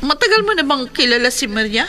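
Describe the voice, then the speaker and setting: Anxious young wife, high bright voice. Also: female voice, big pitch swings, quick pace, heavily acted. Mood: nervous. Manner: fretful, emotional. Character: anxious, determined wife out to rescue her husband. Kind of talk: horror radio drama acting.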